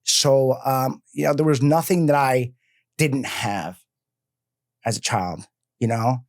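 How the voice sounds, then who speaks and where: Energetic Philly man telling his story. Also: mid-range voice, takes it slowly. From Philadelphia. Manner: energetic, rambling, filler-heavy. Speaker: guest. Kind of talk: casual interview talk. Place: podcast studio.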